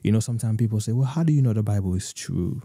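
A young man hosting a live faith talk show over a video call, preaching earnestly with many filler pauses, on a studio microphone. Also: fairly low pitch, lively intonation, warm tone, no echo. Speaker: host. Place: home room, video call. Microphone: studio mic with headphones.

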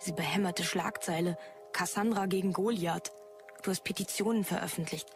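A woman's voice: lowish voice, moderate pace, close to an everyday voice. A woman playing a blunt, colloquial character in a sci-fi audio drama. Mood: troubled, sceptical. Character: blunt, irritated woman with a guilty conscience. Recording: loud music behind the voice.

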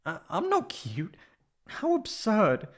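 A man playing a prickly young catboy in an ASMR roleplay, haughty but secretly soft-hearted and stammering when flustered. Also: high-pitched, wildly swooping pitch, slow pace, heavily acted. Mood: flustered, indignant.

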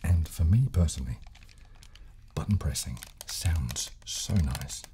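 A man in his thirties hosting a soft-spoken, casual ASMR tutorial in an unhurried, hushed voice that often slips into whispers, close on the mic. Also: a bass voice, lively intonation. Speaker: host and ASMR instructor. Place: small furnished room, indoors. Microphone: desk condenser microphone, close up. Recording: close-mic with clicking and crinkling handling noise. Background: button clicks, crinkling.

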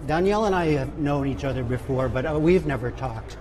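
A middle-aged man interviewing authors, asking brisk questions and prompting briefly. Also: mid-range voice, expressive, darkish tone. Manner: brisk, prompting with short acknowledgments. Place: festival lounge set in a busy hall. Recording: background noise of a busy hall.

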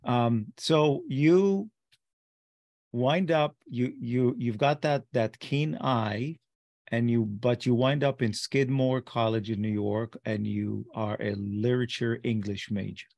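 A man's voice: medium pitch, takes it slowly, gravelly, a little mellow, dry recording. A middle-aged man from Israel with a light Israeli accent, hosting an interview on a video call and asking loose, leading questions. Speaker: host, interviewer. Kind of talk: casual interview talk. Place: home office.

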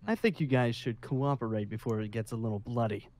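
A man's voice: medium pitch, fairly quick, a little mellow, slight background noise, close to an everyday voice, poor audio. A man playing a smug, menacing colonel who leads a survivor haven, with a commanding, persuasive manner in improvised game roleplay.

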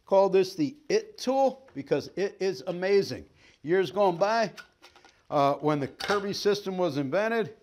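A man's voice: high-pitched, a little mellow. Upbeat, folksy man demoing gear. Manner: folksy, upbeat, jokey patter while handling gear. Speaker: sales trainer. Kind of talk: product demonstration. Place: staged living room set, indoors.

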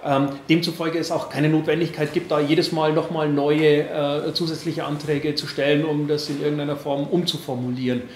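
A man in his forties with an Upper Palatinate accent, answering questions at a press conference in a halting way, with many fillers and restarts. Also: a little high, level tone, roomy sound, a little hiss or hum. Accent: Upper Palatinate, Franconian-tinged. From Bavaria, Upper Palatinate. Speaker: party board member at press conference.

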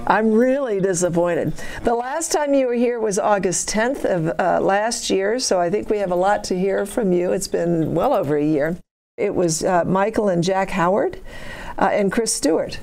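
A woman's voice: mid-range voice, moderate pace, creaky, darkish tone. A woman moderating a briefing, introducing the guests in a chatty, joking way.

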